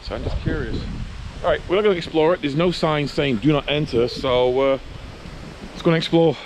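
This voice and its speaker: A man's voice: fairly high pitch, a little fast, noisy background. A middle-aged male vlogger giving casual, musing commentary while walking outdoors with a handheld camera. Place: outdoors on a park road and path. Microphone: handheld action camera at arm's length.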